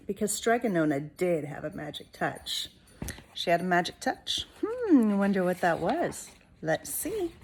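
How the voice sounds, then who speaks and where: A woman reading a storybook to children like a teacher, expressively, pausing to put questions to the listeners. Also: mid-range voice, breathy edge. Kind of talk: storybook read-aloud for children.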